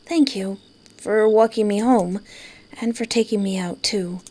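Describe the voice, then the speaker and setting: A woman's voice: medium pitch, fairly even intonation, a little slow, mellow, natural voice. A woman voicing a bashful, teasing female artist on a date in a visual novel, with a light, coy delivery. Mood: shy fondness. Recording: music behind.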